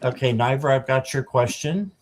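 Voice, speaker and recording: A man in his fifties hosting a casual livestream, greeting viewers by name and filling pauses with ums. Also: medium pitch, slight background noise. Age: 50s. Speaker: livestream host.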